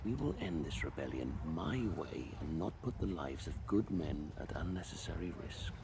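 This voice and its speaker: An actor playing a gruff, commanding Roman general in a video game cutscene, with forceful oratory. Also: male voice, rumbling low, expressive, normal speed, background noise. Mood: stern, measured. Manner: forceful oratory, barking orders to troops. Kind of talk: video game cinematic acting.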